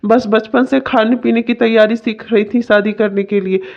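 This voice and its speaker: A woman's voice: fairly high pitch, fairly even intonation, moderate pace, natural voice. Woman acting a bossy young husband, muffled sound. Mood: outraged. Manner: bossy, complaining, melodramatic. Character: domineering, indignant young husband. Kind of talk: melodramatic Hindi family story acting.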